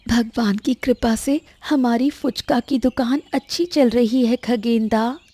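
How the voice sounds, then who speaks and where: A woman's voice: on the high side, steady tone, a little slow, mellow, dry recording. A woman voicing an earnest, polite young village stallkeeper in a cartoon moral story. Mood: polite, puzzled. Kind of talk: animated moral story dialogue in Hindi. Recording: music behind the voice.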